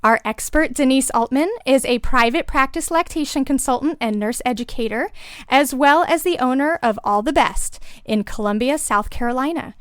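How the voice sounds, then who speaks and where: A woman hosting a parenting podcast in a studio, reading her announcements and introductions in a bright, clear way. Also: high-pitched, moderate pace, no echo. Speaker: podcast host and lactation consultant. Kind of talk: scripted show hosting.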